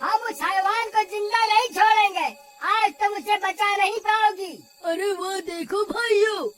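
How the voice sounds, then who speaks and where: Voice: very high-pitched, a bit bright, heavily acted. A performer voicing an alarmed, angry villager in a cartoon story, declaiming to a mob.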